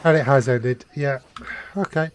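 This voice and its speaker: A man's voice: medium pitch, unhurried, slight background noise. A man with a British accent giving casual live gameplay commentary, thinking aloud in short remarks. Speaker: game streamer. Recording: game music behind the voice.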